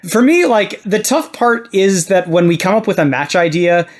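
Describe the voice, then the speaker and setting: Higher-voiced man hosting a podcast. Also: lively intonation, normal speed. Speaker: podcast host. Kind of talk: casual discussion.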